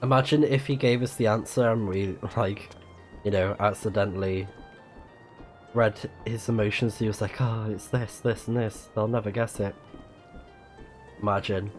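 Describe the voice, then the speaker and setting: A man's voice: lowish voice, steady tone, moderate pace, pauses often, a little mellow, soft background music. A man giving casual live commentary as he plays a video game, thinking aloud. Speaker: game streamer.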